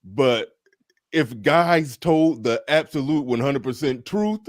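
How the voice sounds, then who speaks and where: A man hosting a casual panel discussion, relaxed and repeating words as he thinks aloud. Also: medium pitch, slow pace, dry recording, studio quality. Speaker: host. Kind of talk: casual panel talk show.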